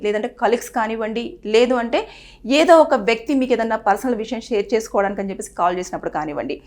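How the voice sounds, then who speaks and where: A woman, presenting an earnest explainer that advises the viewer and acts out what people say. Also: on the high side. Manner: earnest, advising, repeats phrases and acts out quoted speech. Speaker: news presenter. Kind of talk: explainer to camera on a legal topic.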